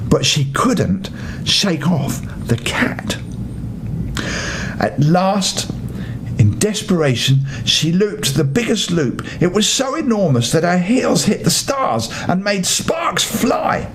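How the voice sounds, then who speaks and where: An older man reading a children's bedtime story with expressive narration. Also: slow pace. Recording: faint rumbling background noise.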